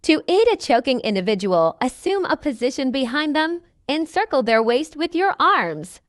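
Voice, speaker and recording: A woman's voice: sits high, very animated, moderate pace, dry recording, studio quality. A woman reading a scripted passage aloud as narrator of a practice lesson.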